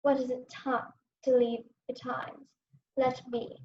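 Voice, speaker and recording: Resolute, vengeful young prince played by a young woman, high pitch, Shakespearean radio drama, dull sound with faint noise. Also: little pitch movement, takes it slowly, dark tone. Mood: brooding, resigned. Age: young adult. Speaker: student actor. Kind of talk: Shakespearean radio play acting. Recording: muffled, noisy home recording.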